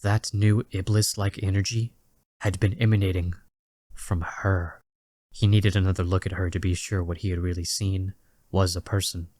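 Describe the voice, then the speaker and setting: A man reads dramatic fiction as its narrator. His voice is low and stays even, with measured literary phrasing, in a close, dry recording. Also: no room sound. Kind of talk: scripted dramatic story narration.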